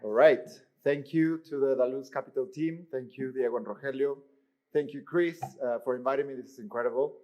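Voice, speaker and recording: Man from Mexico pitching his startup. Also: fairly quick, highs cut off. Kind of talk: pitch presentation. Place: conference stage.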